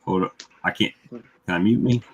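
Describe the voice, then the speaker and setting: A man's voice: fairly low pitch, moderate pace, breathy edge, lo-fi sound. A man in his fifties talking casually about his own views on a livestream with fellow hobbyists. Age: 50s. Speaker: beekeeper livestream host.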